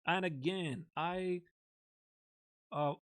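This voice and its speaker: A man's voice: sits high, steady tone, extremely slow, fairly bright tone, clear, resonant tone. A man coaching chess on a live stream, thinking aloud and chatting casually with viewers in a close desk mic. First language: Latvian. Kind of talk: live-streamed chess lesson, explaining lines.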